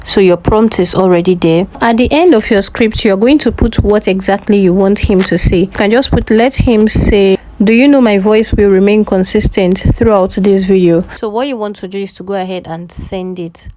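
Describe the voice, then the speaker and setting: Mellow woman teaching step by step. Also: mid-range voice, normal speed, few pauses, rough recording. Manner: instructional, often adds check-in questions. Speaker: tutorial host. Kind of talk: step-by-step tutorial narration.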